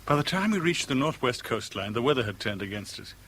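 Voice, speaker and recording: A man's voice: medium pitch, big pitch swings, a bit bright, breathy edge. Intense, earnest romantic man. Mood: hushed intensity. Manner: earnest, poetic, measured storytelling. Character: intense, romantic middle-aged man, a polite guest. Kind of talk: 1970s British radio drama acting. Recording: faintly noisy old radio recording.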